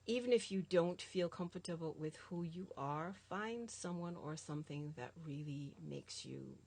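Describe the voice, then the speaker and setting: A woman art teacher with a Jamaican American accent, chatting as a guest in an animated way with lots of filler ums. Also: deep voice, moderate pace, creaky, slightly rough. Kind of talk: casual conversation.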